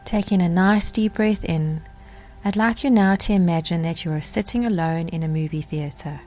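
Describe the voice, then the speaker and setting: A woman narrating a guided meditation as the guide, speaking in a gentle, measured way with deliberate pauses. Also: fairly low pitch, soft background music.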